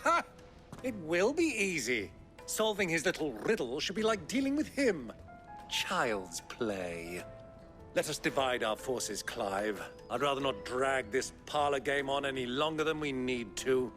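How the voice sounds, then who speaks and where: An actor playing a gruff, hot-tempered older warrior uncle in a video game, blustering and scornful. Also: male voice, mid-range voice, very animated, measured pace, a bit bright, soft background music. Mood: dismissive.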